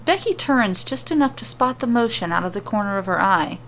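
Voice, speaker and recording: A woman narrates a scripted fantasy tale as its storyteller. Her voice is mid-pitched. Ambient effects and faint noise run behind her. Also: expressive, fairly quick. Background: ambient sound effects.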